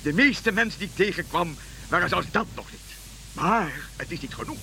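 Excitable high-voiced old wandering king. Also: moderate pace, gravelly, slight background noise, full character voice. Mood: earnest. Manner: excitable, stammering, repeating himself. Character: old Balthasar, fervent dreamy wandering king following a star. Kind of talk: Dutch radio play acting.

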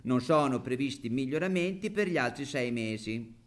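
A man's voice: mid-range voice, expressive, normal speed, lightly acted. A man playing a lonely, chatty, good-humoured woman with a Roman accent in a satirical stage monologue. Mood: wry.

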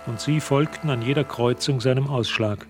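A man narrating a suspenseful radio drama in a measured, literary manner. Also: medium pitch, music in the background, close to an everyday voice. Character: composed male storyteller narrating a suspense tale.

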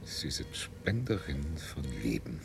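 A man's voice: extremely low pitch, sing-song, measured pace, creaky, heavily acted. A man playing a smug, gleefully sinister ruler-scientist in a German science fiction radio play, declaiming pompously. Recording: radio drama with music underneath.

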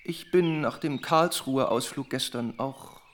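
A man acting in a German radio play as a boastful, jaunty gentleman with American affectations, pompous and teasing. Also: medium pitch, measured pace, slight background noise, close to an everyday voice. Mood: jaunty, self-important.

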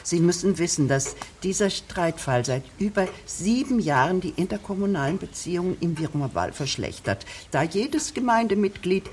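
A woman's voice: fairly low pitch, big pitch swings, normal speed, hardly any pauses, darkish tone, slight background noise. Fussy, long-winded woman village official. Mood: insistent. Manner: wordy, officious, digressive. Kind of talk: radio drama acting.

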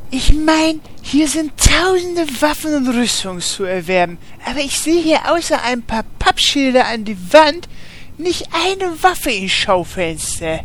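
A man's voice: very high voice, expressive, measured pace, fairly bright tone, heavily acted. A man doing a naive, puzzled big warrior. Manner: naive, puzzled, endless questions. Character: naive big strong male warrior Horst. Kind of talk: comedy radio play acting.

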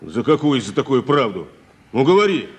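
An actor playing a stern but fair farm boss in a radio play, brisk, questioning and commanding. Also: male voice, mid-range voice, very animated, breathy edge. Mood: stern, demanding. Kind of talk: Soviet radio play acting. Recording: noisy vintage radio drama recording.

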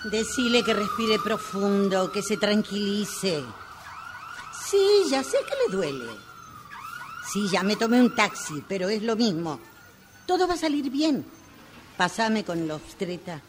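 Woman acting animated, unhurried Argentine birth helper on the phone. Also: medium pitch. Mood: reassuring. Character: caring, soothing midwife-like woman coaching a birth by phone. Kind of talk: radio drama acting, one-sided phone call. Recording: amateur workshop recording, sirens and traffic noise.

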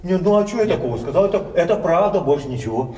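A man's voice: a little high, expressive, racing, darkish tone, close to an everyday voice. An actor playing a brash, opinionated younger man who rants sarcastically in a theatrical stage comedy style. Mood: scornful ranting. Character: brash, argumentative younger man mocking old folk. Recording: roomy, distant mic, faint noise.

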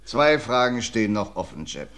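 A calm, shrewd detective. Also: male voice, fairly low pitch, moderate pace, faint music behind. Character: calm, shrewd male detective named Derek. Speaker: detective in a radio play. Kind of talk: vintage radio drama acting.

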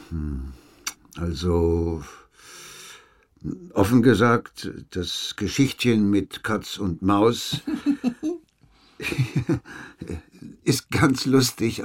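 An actor playing an impatient, sceptical investigator in a radio play, speaking in a dry, condescending, pressing manner. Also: male voice, on the low side, drawn-out words, pauses often. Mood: condescending, grudging. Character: impatient, sceptical male investigator questioning a witness. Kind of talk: German radio play acting.

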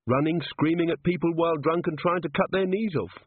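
A man's voice: on the high side, fairly quick, husky, no echo, phone-quality sound, voice pushed up. A man voicing a wry, reassuring policewoman in a fantasy storybook reading. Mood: frank, explaining.

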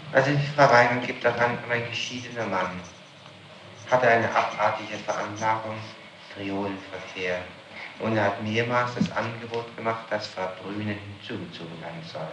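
A man conducts a formal interrogation in a fairly low voice. He speaks haltingly yet persistently, in an official register. The old tape is echoey and clearly noisy. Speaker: prosecutor questioning a suspect. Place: room, recorded on tape during questioning.

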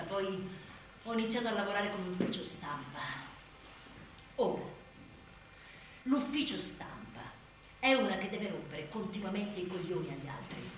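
An actress playing an exhausted, exasperated new mother in a bitterly funny, dramatic confessional stage monologue. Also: mid-range voice. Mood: weary. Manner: dramatic, sardonic, swinging from muttered to shouted. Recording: roomy distant theatre sound, faint noise.

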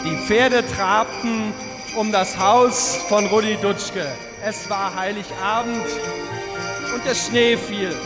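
Frantic high-pitched radio director. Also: male voice, steady tone, slow pace, over loud music. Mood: narrating. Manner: barking impatient orders, often shouting. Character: frantic, bullying male radio director and announcer. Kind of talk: avant-garde German radio play acting.

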